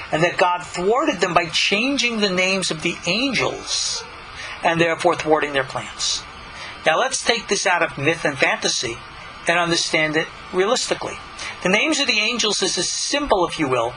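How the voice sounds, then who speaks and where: A man's voice: high-pitched, bright, forceful tone, slight background noise, low-quality recording. A man, a rabbi, teaching a text in a conversational lecture style, full of rhetorical questions and asides.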